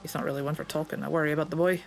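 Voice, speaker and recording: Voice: medium pitch, fairly even intonation, racing, vocal fry, close to an everyday voice. A player reading aloud the lines of a plainspoken Scottish stable master in a game, in a folksy, understated way.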